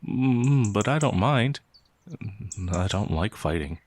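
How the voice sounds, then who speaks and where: A man playing a gentle, naive young peasant werewolf, hesitant and easily flustered, in a fantasy audio roleplay. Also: lowish voice, expressive, slow. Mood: shy, gentle.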